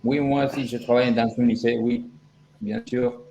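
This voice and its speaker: A man's voice: medium pitch, animated, moderate pace, gravelly, mellow, dull audio. Salvadoran guy on a video call, polite and careful, echoey room, faint background hum. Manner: polite, repeats "bien sûr". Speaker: guest, language assistant. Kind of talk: casual chat.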